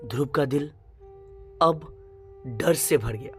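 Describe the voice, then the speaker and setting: A woman narrating a scripted suspense story in a dramatic, measured way, in short phrases. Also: a bass voice, faint music behind.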